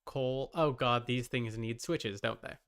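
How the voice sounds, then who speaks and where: A man's voice: mid-range voice, steady tone, unhurried, fairly bright tone, clean tone. A man livestreaming a video game, casually commenting and grumbling to himself as he talks to his chat. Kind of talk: casual gaming stream commentary, talking to chat. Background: music.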